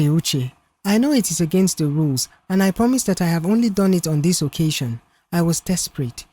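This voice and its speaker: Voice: mid-range voice, darkish tone, close to an everyday voice. A narrator as a pleading young woman secretary. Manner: pleading, earnest. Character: apologetic, desperate young woman office secretary.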